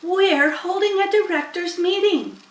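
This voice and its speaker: Old sheep, barn elder, woman acting it: firm, lecturing, unhurried storybook delivery with room echo. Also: very high voice, distant mic, voice pushed up. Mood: stern, lecturing. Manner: emphatic, lecturing.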